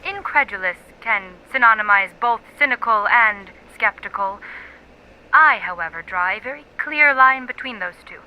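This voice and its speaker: A woman's voice: fairly high pitch, animated, moderate pace, dull audio, slight background noise, natural voice. An actress playing a self-assured female academic being interviewed in an audio drama, precise, articulate and emphatic. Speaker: interviewed expert.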